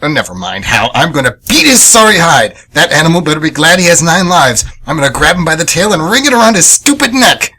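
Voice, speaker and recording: A man's voice: fairly high pitch, big pitch swings, fairly quick, hardly any pauses, sharp tone, slightly rough, exaggerated voice. Man acting a flustered, stammering suburban husband. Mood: indignant. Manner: stammering, exclaiming. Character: flustered, indignant suburban husband being sued. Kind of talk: amateur radio comedy acting.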